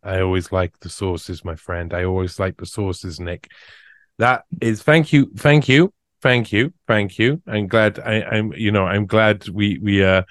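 Man, very low voice, podcast host, casual chat, hesitant restarts, dry close sound. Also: fairly even intonation, no room sound. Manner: halting, full of restarts and fillers.

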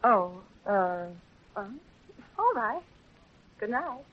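A woman's voice: mid-range voice, sing-song, very slow, exaggerated voice. An actress playing a sardonic, wisecracking woman friend in a 1930s radio comedy-drama, on a muffled old recording. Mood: flustered. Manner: sardonic, flippant. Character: wisecracking, cynical roommate of a newspaperwoman.

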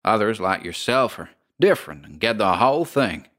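A man voicing a coolly unbothered landlady of a haunted boarding house, with a metallic voice and a slow folksy drawl. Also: lowish voice, sing-song, a bit bright.

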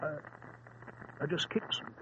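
An actor playing an earnest, aging sidekick to a detective in an old-time radio drama, blustery and hesitant. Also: male voice, measured pace, natural voice. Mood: uneasy, subdued. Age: older. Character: earnest, fussy, aging male detective's companion. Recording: muffled, noisy old radio recording.

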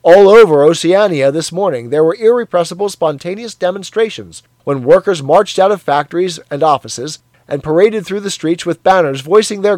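A man's voice: sits high, a little mellow, no echo, pitched above the performer's own voice. A man doing an eager young propaganda announcer. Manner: eager, proclaiming, exclamatory.

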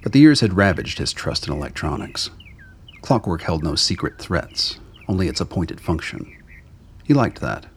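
Male storyteller, extremely deep, creaky, husky, scripted radio drama narration. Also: very animated, normal speed.

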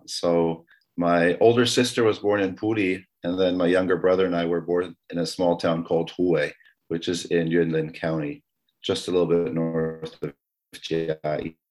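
A middle-aged man from Taiwan telling personal stories as an interview guest, conversational and a little halting. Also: low voice, flat delivery, a little mellow.

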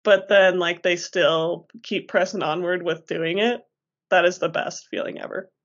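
A woman's voice: on the low side, steady tone, measured pace. A woman co-hosting a podcast in casual chat. She is playful and slangy, and laughs easily between lines. The audio is a little dull and lo-fi. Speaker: podcast co-host.

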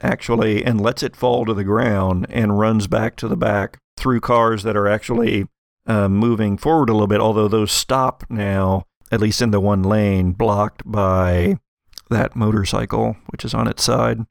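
A man's voice: fairly low pitch, fairly even intonation, a little slow, creaky, dry recording, studio quality. A man running a tabletop game as game master, narrating the scene in a conversational, improvised way.

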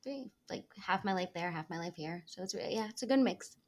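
A woman in her twenties from the United States and Israel, a podcast guest chatting in a relaxed, friendly way. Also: on the low side, a little fast. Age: 20s. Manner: relaxed, friendly, lots of fillers. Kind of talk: casual interview chat.

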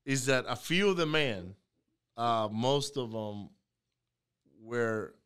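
Man, podcast host, from venezuela, chatty, jokey, rambling, smooth clear voice. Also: medium pitch, animated, takes it slowly, a bit bright. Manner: chatty, joking, rambling with restarts. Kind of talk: casual interview chat.

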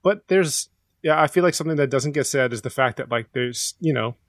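A man's voice: medium pitch, a little fast, a bit bright, dry recording. Thoughtful man with a dry, close sound. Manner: earnest, affirming, thoughtful. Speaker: podcast panelist. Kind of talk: reflective casual chat.

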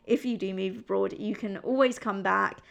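A young woman from England hosts her own podcast, chatting in an upbeat, confiding way. Her voice sits at a middle pitch with a gravelly fry at the ends of phrases. She sounds close to a podcast microphone. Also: fairly even intonation, normal speed. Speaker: podcast host. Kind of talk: solo podcast chat.

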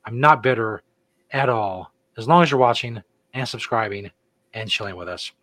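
A middle-aged man co-hosts a casual podcast in a relaxed, joking way, drifting from one announcement to the next. His voice sits at an ordinary pitch for a man. The sound is dry and close, with no room around it. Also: normal speed, dry recording. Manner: laid-back, joking, rambling. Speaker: podcast co-host. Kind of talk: casual chat.

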